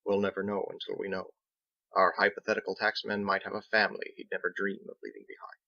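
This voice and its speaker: A man voicing a genteel, wry, philosophical sea captain who speaks formally and deliberately in a storybook reading. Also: low-pitched, level tone, vocal fry, bright, forceful tone, no echo, muffled recording, exaggerated voice. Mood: gently wry. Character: genteel, measured, pipe-smoking male sailor captain, wry and philosophical.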